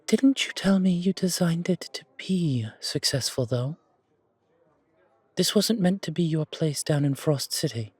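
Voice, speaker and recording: A man voicing a refined, gracious and quietly enigmatic confidant in an audio roleplay, with polished, deliberate, theatrical phrasing. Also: fairly high pitch, lively intonation, husky, no room sound. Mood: pointed. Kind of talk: fan audio roleplay acting.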